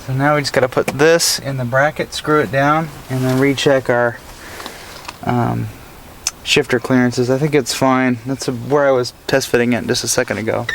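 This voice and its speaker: A man's voice: mid-range voice, fairly even intonation, moderate pace. A young American man chatting casually and in laid-back slang as he works on his truck, recorded inside the cab by a camera a little way off. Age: young adult. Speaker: vlogger doing a truck DIY install. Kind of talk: casual vlog chat while working. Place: inside a parked pickup truck cab, door open. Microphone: camera mounted an arm's length or more away. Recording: clear outdoor road noise. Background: road and vehicle noise.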